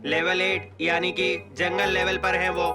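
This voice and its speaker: A calm cartoon robot helper voiced by a woman, lowish and very even in tone, reporting facts plainly. Loud music plays underneath. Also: a little slow, lightly acted. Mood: factual. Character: calm, matter-of-fact little robot assistant. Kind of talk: animated comedy dub.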